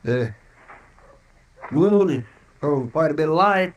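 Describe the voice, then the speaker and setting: Fretful, folksy frontier deputy. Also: male voice, a little high, animated, slow pace, muffled recording. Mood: nervous, pleading. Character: loyal, anxious, folksy deputy of a frontier marshal. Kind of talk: old-time radio western acting. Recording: noisy vintage radio drama recording.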